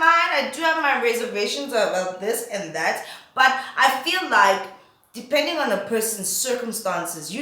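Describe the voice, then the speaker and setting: A woman's voice: a little high, pushed and bright. Nasal woman vlogger in an echoey room. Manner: candid, opinionated, rhetorical questions. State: nasal from a cold. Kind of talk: opinion commentary vlog.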